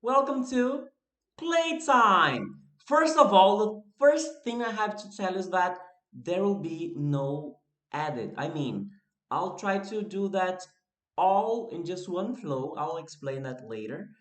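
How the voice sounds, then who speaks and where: A Brazilian-accented man speaks English as a second language in an unhurried, loose monologue, often backing up to restart a phrase. His voice sits fairly high for a man. The room around him rings with noticeable echo. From northeast Brazil. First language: Portuguese. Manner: relaxed, restarts phrases, laughs at himself. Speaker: YouTuber and English teacher. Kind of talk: casual unscripted monologue to camera. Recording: echoey room.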